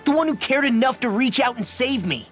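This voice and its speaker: A man's voice: very high voice, animated, a little fast. A man voicing a brash, hot-headed young fighter in a game, with a blunt, cocky, informal way of talking. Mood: frustrated, challenging. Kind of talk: dubbed anime-style game voice acting. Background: background music.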